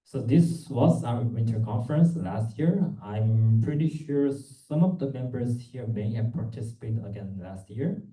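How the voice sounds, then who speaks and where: Dark-voiced young man in an echoey room. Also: mid-range voice, lively intonation, measured pace, few pauses. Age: young adult. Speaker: student club executive presenting at a conference. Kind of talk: conference presentation. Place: indoor conference room. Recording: echoey room, distant microphone.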